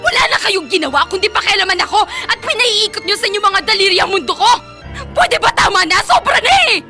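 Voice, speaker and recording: A woman's voice: extremely high pitch, lively intonation, fast, music in the background. A woman playing a smothered, defiant young woman in an overwrought Filipino radio melodrama outburst. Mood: furious, anguished.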